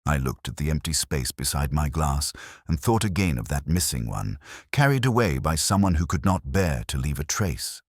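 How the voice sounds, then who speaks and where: Detective drama narrator: male actor as world-weary British inspector, extremely low voice, expressive intonation, measured and brooding delivery. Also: no room sound, clean studio sound, exaggerated voice. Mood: melancholy. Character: world-weary, melancholy British male police inspector, middle-aged or older. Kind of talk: scripted first-person detective audio drama narration.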